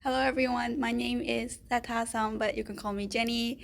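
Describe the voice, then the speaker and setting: A young woman chatting casually as a student interviewee, in halting, filler-heavy phrases. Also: on the high side, fairly even intonation, vocal fry, slight background noise. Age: young adult. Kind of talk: casual chat.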